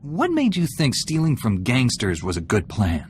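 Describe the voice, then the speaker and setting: An actor playing a composed, dryly witty heroic warrior knight in a video game. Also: male voice, mid-range voice, huge pitch swings, a little hiss or hum. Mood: dry, wry. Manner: measured, dry, wry.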